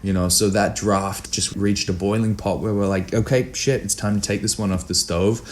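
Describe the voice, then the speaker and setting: A man with a low voice talks casually as an interview guest. He is candid and blunt in an informal way, with a faint layer of noise behind him. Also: fairly even intonation, normal speed. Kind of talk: casual chat.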